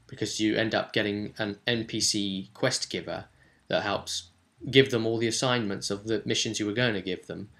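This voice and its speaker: A man's voice: fairly low pitch, a bit bright. A man giving an informal, chatty, emphatic recap as a tabletop game master and vidcast host.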